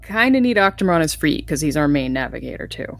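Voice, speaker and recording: A woman's voice: sits low, very animated, fast talker, vocal fry. A woman chatting casually as a player at a tabletop game.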